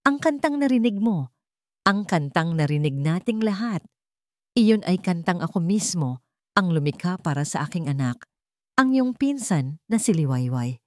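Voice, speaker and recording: A stern old male patriarch, voiced by a woman storyteller, speaking low with calm, firm weight. He is a wounded grandfather in a dramatic audio story, recorded close and dry. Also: normal speed, no echo.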